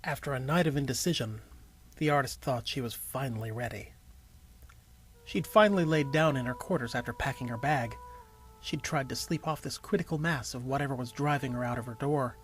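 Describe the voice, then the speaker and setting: A man reading scripted story narration as a storyteller, in an expressive way. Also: medium pitch, a little fast, slightly rough, soft background music. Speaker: narrator and voice actor.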